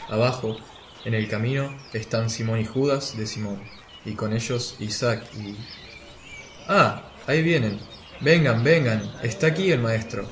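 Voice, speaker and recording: A man acting as an eager, welcoming lookout apostle in a radio drama. His mid-pitched voice rings with echo, over faint birdsong. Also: close to an everyday voice. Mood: calling, excited. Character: Philip, eager, welcoming male apostle on watch. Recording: echoey sound, faint noise.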